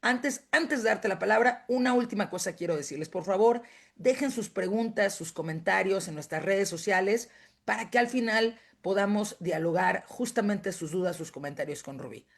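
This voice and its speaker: Gravelly-voiced woman moderator. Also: medium pitch, animated, normal speed. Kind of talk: event moderation.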